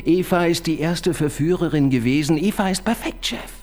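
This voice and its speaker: An actor playing an eager, pedantic police assistant in a radio crime drama, prone to hesitant restarts. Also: male voice, a little high, moderate pace, faint music behind, close to an everyday voice.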